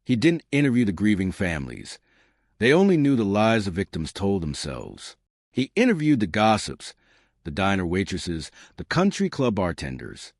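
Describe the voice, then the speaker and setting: A man reading a scripted dark mystery story as a dramatic, deliberate narrator. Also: low-pitched, huge pitch swings, no echo, studio quality.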